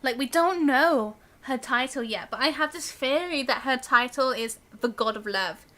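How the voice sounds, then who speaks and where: Bright, high-voiced woman live streaming. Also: animated, normal speed. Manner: giggly, musing aloud, frequent "like". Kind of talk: casual live gameplay commentary.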